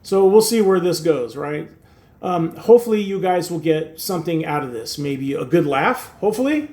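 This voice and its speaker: A man's voice: fairly high pitch, animated, moderate pace, a little mellow. A man in his sixties who grew up in Turkey and speaks Turkish natively, talking casually to camera as host of his own channel, rambling with lots of fillers and wry self-deprecating humor. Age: 60s. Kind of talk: casual talking-head monologue.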